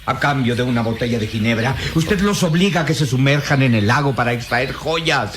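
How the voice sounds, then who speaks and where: An actor playing an outraged, righteous professor, declamatory and emphatic in a melodramatic radio drama. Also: male voice, mid-range voice, fairly quick, noisy background, natural voice. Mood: accusing.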